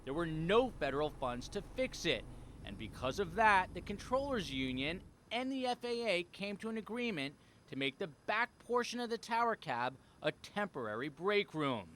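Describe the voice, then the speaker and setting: A male television reporter delivers scripted investigative news narration. His voice sits notably high for a man and moves through a wide, animated range of pitch. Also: moderate pace, a little hiss or hum.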